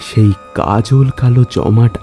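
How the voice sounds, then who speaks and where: A man narrates a scripted literary story in a solemn, measured, dramatic way, pausing between phrases. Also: mid-range voice, steady tone, warm tone. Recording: music under the voice. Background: musical instrument music.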